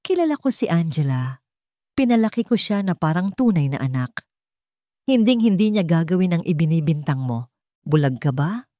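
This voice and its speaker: Stern mother in an audio drama played by a female narrator: commanding, emphatic, mellow tone, close dry sound. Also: fairly low pitch, big pitch swings, moderate pace, no room sound. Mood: pained, insistent. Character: stern, fiercely protective mother of a grown son.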